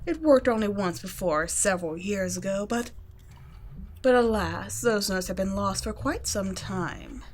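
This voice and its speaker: A woman voicing a cold, teasing female vampire scientist in an ASMR roleplay, speaking softly and often in a whisper, mocking and condescending. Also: medium pitch, a little slow, lightly acted. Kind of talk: ASMR roleplay audio drama.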